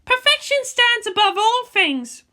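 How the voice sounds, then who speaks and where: Shrill, headstrong, argumentative girl. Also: very animated, unhurried, pushed and bright, heavily acted. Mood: defiant. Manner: emphatic, declamatory. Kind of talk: fan fiction audiobook character voice.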